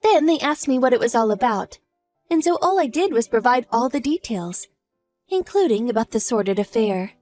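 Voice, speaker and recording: A woman playing a cold, scheming wife who mocks her husband's lover in a slow, sneering way. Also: a little high, animated, a little mellow, faint music behind, natural voice. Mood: mocking. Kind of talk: audio drama acting.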